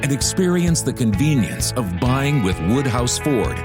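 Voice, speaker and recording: A man reading a scripted car dealership ad in a steady, even sales delivery. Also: medium pitch, a little slow. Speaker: ad announcer. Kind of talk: scripted radio ad read. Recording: ad with music bed.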